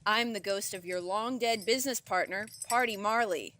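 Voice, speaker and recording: A woman's voice: medium pitch, moderate pace, bright, forceful tone, close to an everyday voice. A woman playing a grandiose female ghost in a comedic audio drama, with theatrical delivery. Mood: ominous, accusing. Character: grandiose female ghost of dead business partner.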